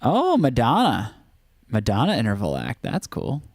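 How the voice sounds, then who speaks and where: A man's voice: mid-range voice, huge pitch swings, moderate pace, no echo. A man co-hosting a live stream in casual chat, talking in a chatty, playfully exclamatory way.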